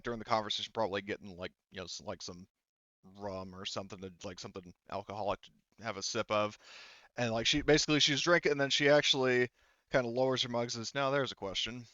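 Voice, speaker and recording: A man narrates a tabletop game as game master in a smooth, clean voice. He explains at length, rambling and restarting as he improvises. The sound is close and dry, a touch dull. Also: medium pitch, fairly even intonation, a little fast, a bit bright, no echo. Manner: rambling, explanatory, self-correcting.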